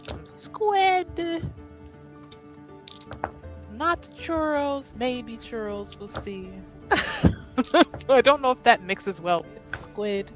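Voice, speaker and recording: Giggly, slow-paced woman playing a tabletop game. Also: a little high, animated, faint music behind. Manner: giggly, informal. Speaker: player in a tabletop roleplaying game. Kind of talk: casual game chat with friends.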